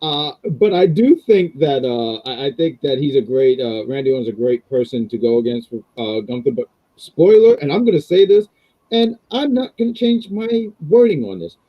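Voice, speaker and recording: Chatty guy, husky, bounces around in pitch, dry lo-fi mic. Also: lively intonation, normal speed, no echo. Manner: rambling, chatty, many ums and uhs. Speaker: podcast co-host. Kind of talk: casual wrestling review chat.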